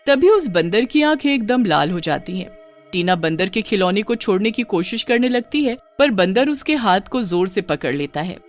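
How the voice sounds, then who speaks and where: जीवंत महिला कथावाचिका. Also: female voice, mid-range voice, lively intonation, normal speed, soft background music. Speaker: narrator. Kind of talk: scripted narration of children's horror story.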